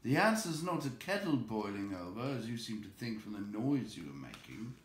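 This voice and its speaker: A man's voice: on the low side, sing-song, normal speed. A man voicing a small, flustered but plucky hobbit in a storybook reading, with an exclamatory delivery. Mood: impatient. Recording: roomy echo, noticeable background noise.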